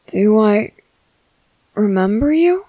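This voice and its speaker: A woman playing a gentle, worried young merboy in an ASMR roleplay, speaking softly and hesitantly to comfort someone. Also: medium pitch, animated, slow, no room sound, low-quality recording. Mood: confused, hesitant. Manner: soft, hesitant, soothing.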